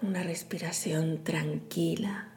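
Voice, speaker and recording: A woman leading a guided meditation in a soothing, hushed, breathy delivery with long pauses. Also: deep voice, steady tone, a little hiss or hum. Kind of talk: guided meditation, scripted narration.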